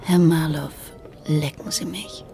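An actress playing a defiant, sharp-tongued femme fatale wife in a noir radio drama, cold and scornful. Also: female voice, sits low, fairly even intonation, slow pace, creaky, over music, exaggerated voice. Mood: scornful, offended.

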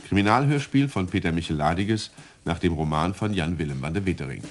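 Brief: male announcer, very low voice, formal title reading. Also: lively intonation, fairly quick.

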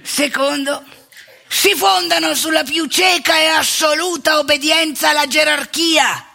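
A woman playing an outspoken, rebellious elderly male priest in a colloquial theatrical monologue, wry and impassioned, with a husky, gravelly voice. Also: sits high, steady tone, unhurried, pushed and bright, exaggerated voice. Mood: indignant, emphatic. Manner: colloquial, confiding, swinging into emphatic indignation.

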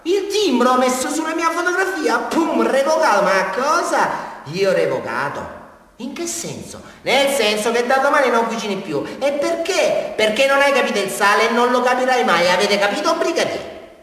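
A man's voice: extremely high pitch, big pitch swings, normal speed, a bit bright, full character voice. An actor playing an agitated small-town man with a southern Italian accent, rambling and repeating himself in a theatrical confession to a police sergeant. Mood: indignant. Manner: rambling, repetitive, swinging from whispers to outbursts. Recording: very echoey hall, distant microphone.

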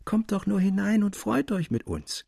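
A man voicing a sweet, welcoming young maiden in a storybook, speaking in a gentle, friendly, formal manner. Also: high-pitched, sing-song, moderate pace, creaky, breathy edge, no room sound, studio quality, pitched above the performer's own voice, exaggerated voice. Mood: sweet, inviting.

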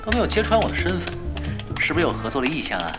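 Laid-back male gamer with lively pitch swings. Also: very fast. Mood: teasing. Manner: nonchalant, teasing. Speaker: voice actor in a radio drama. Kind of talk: naturalistic audio drama dialogue. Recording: music behind the voice.

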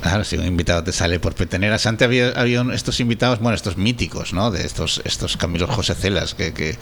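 Low-voiced Spanish man hosting a chat. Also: fast, a bit bright. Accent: Spanish (Spain). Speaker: host. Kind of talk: casual chat.

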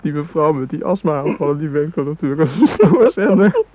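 An actor in a radio play playing a captive hostage with a husky voice, chatty and wry with nervous gallows humour. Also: male voice, fairly high pitch, very animated, fairly quick, dry recording. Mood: uneasy, chatty. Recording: tinny old radio recording.

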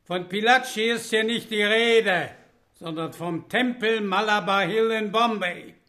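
An actor in a German radio play playing a stern British colonial judge, formal, officious and deliberate. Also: male voice, sits high, bright, forceful tone.

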